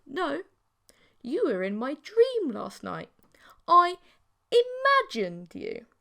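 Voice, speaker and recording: Woman playing a flustered young man, lively pitch. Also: slow pace, gravelly, full character voice. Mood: sceptical. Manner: incredulous, awkward. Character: bewildered, lonely, indignant young man, the story's hero.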